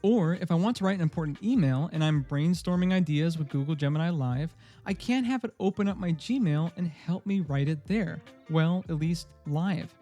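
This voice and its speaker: A man's voice: on the high side, moderate pace, hardly any pauses, warm tone, faint music behind. A man narrating a scripted tech review, upbeat and emphatic as he gushes over features. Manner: upbeat and emphatic, openly gushing.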